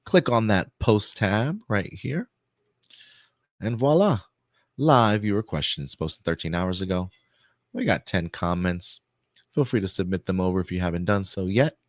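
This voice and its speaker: A man's voice: sits low, lively intonation, unhurried, dry recording, clean studio sound. Chatty man hosting a live stream. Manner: chatty, loose, lots of fillers and repeats. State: voice getting hoarse. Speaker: host of a pop-culture news show. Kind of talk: live-stream casual commentary.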